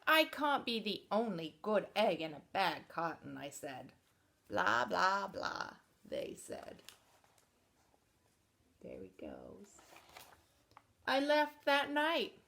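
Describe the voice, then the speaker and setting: A woman reading a picture book aloud to children in an expressive read-aloud manner, dropping to soft, chatty asides about the pictures. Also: medium pitch, slow, creaky, pauses often. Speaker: storybook reader.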